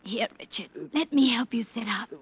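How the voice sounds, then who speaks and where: A woman playing a scheming, flirtatious wealthy wife in 1940s radio style, with a coaxing, expressive manner. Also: a little high, moderate pace, breathy edge. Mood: coaxing, sugary. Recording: dull old radio recording.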